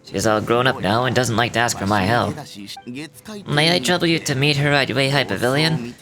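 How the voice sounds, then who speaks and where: A modest old gentleman, voiced by an actor, speaks gently and without hurry. His voice sits in a middle register, and game music plays steadily beneath him. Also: medium pitch, close to an everyday voice. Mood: warm. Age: older. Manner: gentle, unhurried, self-effacing. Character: genial elderly male official, modest and fond of fishing. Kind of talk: game voice acting.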